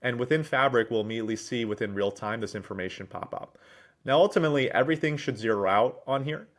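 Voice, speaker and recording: A man narrating a business software demo in a businesslike, explanatory way, with wordy explanations. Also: medium pitch, fast. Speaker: presenter, managing director at a software consultancy.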